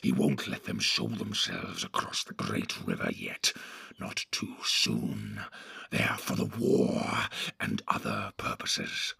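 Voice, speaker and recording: A man voicing a sly, sinister orc captain in an audiobook, hoarse and whispery, drawing out his words in a brooding hiss. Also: very deep voice, pushed and bright, dry recording, voice pushed down, heavily acted. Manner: drawn-out, hissing and brooding delivery. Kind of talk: audiobook reading.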